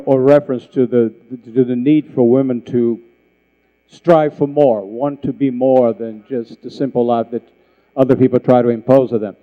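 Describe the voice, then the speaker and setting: A man moderating a literary festival panel, asking measured questions and searching for words. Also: medium pitch, phone-quality sound. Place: festival hall stage.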